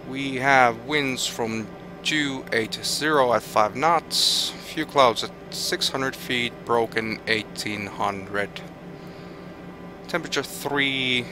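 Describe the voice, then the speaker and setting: A man with a Finnish accent streaming a flight simulator, thinking aloud and reading out weather and route figures with hesitant restarts. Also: mid-range voice. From Finland. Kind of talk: live gameplay commentary, thinking aloud.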